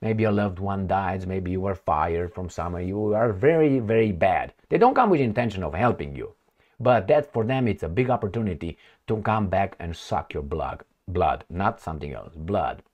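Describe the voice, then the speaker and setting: A man with a low voice whose pitch swings widely, reading news aloud and then drifting into long sarcastic asides. He stops and restarts often and acts out imagined dialogue. It sounds like an informal one-man commentary channel. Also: lively intonation, moderate pace, a little mellow. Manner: rambling and sarcastic, breaks into asides and mock dialogue.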